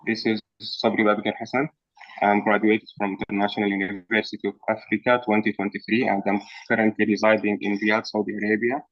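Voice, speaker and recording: A man introducing himself on a video call in a measured, grateful way. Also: lowish voice, level tone, a bit bright, no echo. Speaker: meeting participant. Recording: dry, muffled call audio.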